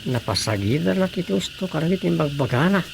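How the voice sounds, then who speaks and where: A man's voice: on the high side, expressive, quick pace, creaky, over music. A man playing a curious, gossiping villager in a conversational radio drama style. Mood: gossipy. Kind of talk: Ilocano radio drama acting. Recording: faint background noise with crickets.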